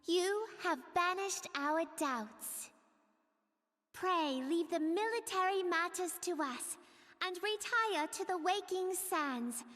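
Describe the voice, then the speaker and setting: Very high-voiced, gracious woman leader. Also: expressive, a bit bright, full character voice. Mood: gracious, reassuring. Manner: measured, formal, reassuring. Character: gentle, solemn young woman leader, gracious and resolute. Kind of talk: video game cutscene acting. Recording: long echoing hall reverb.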